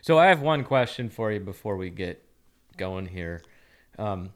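A man's voice: deep voice, expressive, unhurried. A man co-hosting a casual podcast, joking dryly with his partner. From Oregon. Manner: dry joking. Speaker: podcast co-host.

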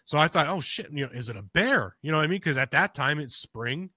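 A man with a bright, forceful voice recounts a story on a podcast in a loose, rambling way, full of restarts and "you know." The sound is close and dry. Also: on the high side, animated, brisk, breathy edge, no echo. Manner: gets louder and more urgent as the story builds. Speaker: podcast co-host. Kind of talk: casual storytelling of a personal encounter.

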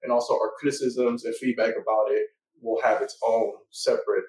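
A man hosting a casual podcast, talking in a relaxed way with many fillers. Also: medium pitch, fairly quick, slight background noise. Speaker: podcast host. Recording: echoey room, mic at a distance.

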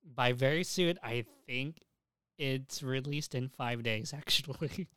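A young man co-hosting a podcast, chatting casually and crudely about anime and games in an excitable, giggly, sweary way. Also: medium pitch, slow, smooth, no echo, clean studio sound. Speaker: podcast co-host.

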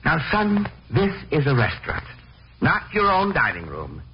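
A man's voice: on the high side, wildly swooping pitch, normal speed, slight background noise. An actor playing an exasperated middle-aged father in a 1940s radio comedy, sarcastic, sighing and fussily emphatic, on a muffled old broadcast. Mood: stern, exasperated. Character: exasperated middle-aged father, long-suffering, easily flustered.

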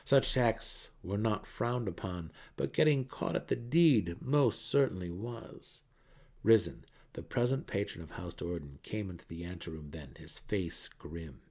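A man delivers dramatic fantasy audiobook narration. His voice is fairly low and gravelly, and it moves through a wide, animated range of pitch. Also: unhurried.

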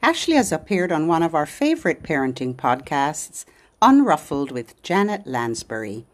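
A woman's voice: low-pitched, very animated, normal speed. A woman hosting a children's program, speaking in simple phrases meant for small children. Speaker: host of a children's literacy podcast. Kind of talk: sing-along children's program narration with songs.

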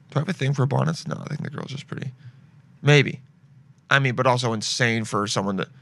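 A man's voice: mid-range voice, no echo. A young man hosting a casual podcast, rambling and joking as he browses shopping sites and reads reviews aloud. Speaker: podcast host.

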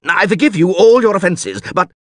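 A hot-tempered middle-aged mayor, voiced by a male narrator, blustering and bellowing at everyone in reach. His husky voice swings from low grumbling up to strained shouts. The sound is dry and close. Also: wildly swooping pitch, unhurried, no room sound, exaggerated voice. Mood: furious.

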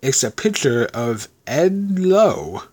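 Old veteran for a storybook, male reader acting: gruff, stiff, pompous, easily affronted, very varied intonation. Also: medium pitch, sing-song, takes it slowly, a little mellow, exaggerated voice. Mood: dry. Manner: pompous, formal.

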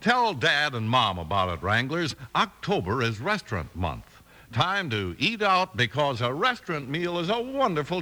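A man's voice: mid-range voice, sharp tone. An American man reading a vintage radio advertisement as announcer, friendly and persuasive, talking to children. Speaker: commercial announcer. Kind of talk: 1950s radio advertisement read.

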